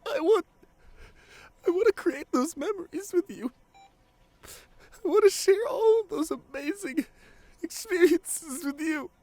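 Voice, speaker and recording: Devoted boyfriend, grieving and tearful, played by a man; slow, broken delivery with repeated phrases, intimate audio drama pleading. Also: very high-pitched, lively intonation, mellow, no room sound. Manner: halting, repeats phrases, voice breaking into sobs. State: crying. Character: grieving devoted boyfriend pleading at comatose partner's hospital bedside. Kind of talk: emotional ASMR audio roleplay.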